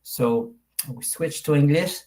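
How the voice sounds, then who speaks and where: A man with a French Canadian accent, whose first language is French, speaks very slowly on a video call. He pauses often and hesitates as he searches for words. His voice is fairly high for a man. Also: darkish tone. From Quebec. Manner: halting, searching for words, many fillers. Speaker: participant. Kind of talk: video call self-introduction.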